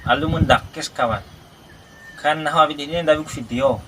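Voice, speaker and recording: A man hosting a family vlog, chatting casually with animated, joking banter. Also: a little high, normal speed, noisy background. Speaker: channel host. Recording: loud music playing behind.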